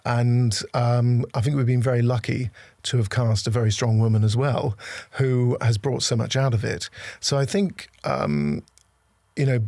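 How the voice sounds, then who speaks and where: A man's voice: medium pitch, a little slow, vocal fry, warm tone, no room sound, studio quality. A man giving earnest, emphatic opinions in a documentary interview. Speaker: production team interviewee.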